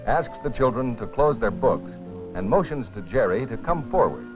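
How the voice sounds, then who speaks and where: A man narrating a dramatized film in a grave, deliberate scripted style with dramatic pauses. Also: medium pitch, lively intonation. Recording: dull old film soundtrack, music behind. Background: dramatic music.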